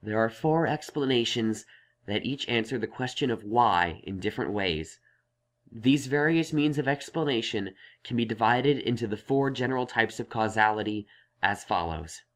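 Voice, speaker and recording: A man voicing a studious, earnest male pony scholar in a story, reciting in a measured way. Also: medium pitch, lively intonation, a bit bright, lightly acted. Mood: studious, absorbed. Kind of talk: dramatized fan fiction audiobook.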